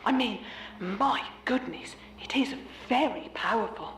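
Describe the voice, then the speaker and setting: A woman's voice: fairly high pitch, unhurried, a bit bright. A woman playing a gleefully menacing killer clown mannequin in a theatrical audio drama, playful and sinister. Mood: gleeful menace. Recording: echoey room, faint background noise. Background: ambience.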